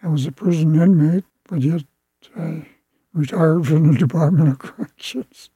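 A man in his eighties from Wisconsin with an American accent, recalling his life in a halting, plain-spoken, reflective way. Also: on the high side, mellow, slightly rough, no echo, low-quality recording. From Wisconsin, United States. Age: 80s. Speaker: interviewed community elder. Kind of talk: reflective personal recollection.

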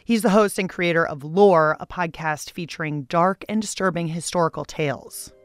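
A woman's voice: on the low side, normal speed, no echo. Playful American woman hosting radio. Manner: playful, theatrical emphasis. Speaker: radio show host. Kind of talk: scripted radio narration with playful asides.

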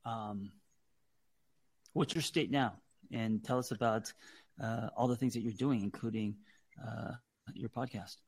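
A man's voice: on the low side, steady tone, unhurried, vocal fry, pauses often, a little mellow, husky. A man born in Korea whose first language is Korean, hosting a podcast interview in an encouraging, affirming way with frequent fillers. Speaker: podcast host. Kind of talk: casual interview.

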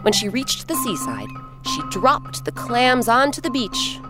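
A woman hosting and narrating a children's story in an expressive, scripted style with dramatic pauses. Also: on the high side. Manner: clear, animated, dramatic pauses. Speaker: host and narrator. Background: instrumental music.

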